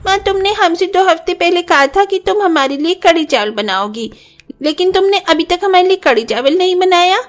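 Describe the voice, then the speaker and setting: A woman's voice: very high-pitched, expressive, normal speed. A woman voicing a hungry, pleading small child in a cartoon story, childlike and plaintive. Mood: whining, sulky.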